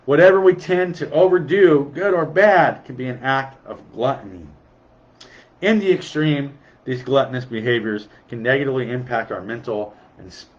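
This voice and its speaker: A man's voice: mid-range voice, dull audio. A man with a sniffly cold, giving an earnest recovery sermon and repeating key lines for emphasis. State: sniffly, under the weather. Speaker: recovery devotional speaker.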